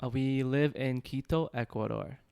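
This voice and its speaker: A man co-hosting a casual podcast chat, answering in an easygoing, measured way and laughing often. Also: mid-range voice, creaky, darkish tone. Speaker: podcast co-host.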